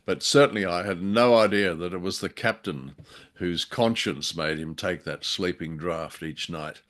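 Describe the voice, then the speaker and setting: A man co-hosting a podcast in casual discussion, speaking in a jokey, haltingly phrased way. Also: sits low, fairly bright tone. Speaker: podcast co-host. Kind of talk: casual discussion of a radio drama.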